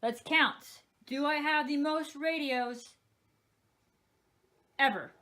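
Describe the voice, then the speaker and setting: A woman's voice: high voice, takes it slowly, sharp tone. A young woman chatting casually as she shows off and counts her collection of weather radios. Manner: chatty, counting items aloud. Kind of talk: casual show-and-tell vlog.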